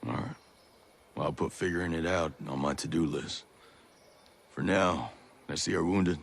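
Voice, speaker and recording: An actor playing a commanding special forces officer in a video game cutscene. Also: male voice, a bass voice, normal speed, gravelly, slight background noise. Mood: grave, businesslike.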